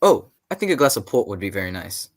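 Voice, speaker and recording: A man playing a hearty, cautious, avuncular English gentleman in a 1940s-style radio drama. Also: lowish voice, sing-song, fast talker.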